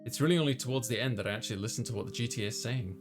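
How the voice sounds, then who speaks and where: Guy doing a casual visual novel readthrough, gravelly fry in his voice, faint music behind. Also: mid-range voice, racing. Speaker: let's player reading a visual novel aloud. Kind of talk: narrating a visual novel in first person, casual playthrough.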